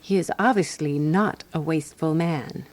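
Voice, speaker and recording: A woman acting in a radio drama as a skeptical, practical companion to a detective, speaking in a dry, measured, matter-of-fact way. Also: low-pitched, darkish tone, close to an everyday voice. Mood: shrewd deduction.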